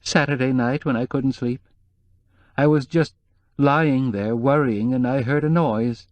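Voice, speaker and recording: A man's voice: mid-range voice, fairly even intonation, a little slow, darkish tone, no echo, pitched above the performer's own voice, lightly acted. An anxious, whimpering housekeeper, as a male narrator puts her on, her voice lifted well above his own and hesitant. She frets and trails off in an audiobook reading, recorded close and dry. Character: nervous timid middle-aged female housekeeper.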